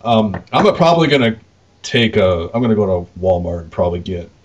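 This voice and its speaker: A man's voice: fairly low pitch, very animated, brisk. A man co-hosting a podcast in casual, unscripted shop talk, rambling informally with some mildly crude slang. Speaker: podcast co-host, arcade game operator. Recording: dull lo-fi voice recording.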